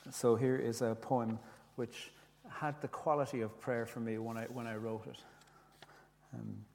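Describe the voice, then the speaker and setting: A man with an Irish accent, whose first language is Irish, gently telling a reflective story with restarts and reading his own poetry. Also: mid-range voice, level tone, measured pace, gravelly, big-room sound. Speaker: speaker, poet.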